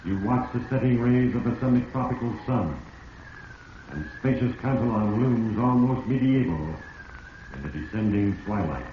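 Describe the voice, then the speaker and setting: An actor playing the ominous voice of Fate, narrating a 1940s radio drama solemnly and deliberately to a doomed schemer. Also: male voice, lowish voice, animated, natural voice. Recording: muffled, hissy 1940s radio, heavy echo.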